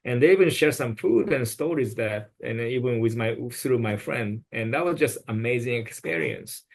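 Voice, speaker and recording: Brief: male podcast guest, phrasing, sincere and advice-giving, at a middle pitch. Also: expressive, normal speed. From Japan. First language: Japanese. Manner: earnest, advice-giving, with non-native phrasing. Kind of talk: podcast conversation.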